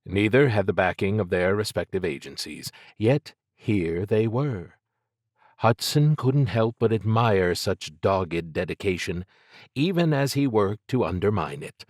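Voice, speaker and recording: Low-voiced man narrating an audiobook. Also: lively intonation, unhurried, dry recording, studio quality. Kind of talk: scripted fiction narration.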